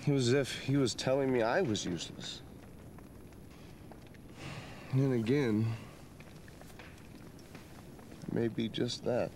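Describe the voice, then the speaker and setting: An actor playing an earnest, reflective young adventurer, a romantic dreamer, in a dramatic video game cutscene. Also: male voice, mid-range voice, normal speed, darkish tone, slight background noise, lightly acted. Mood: hurt, reflective.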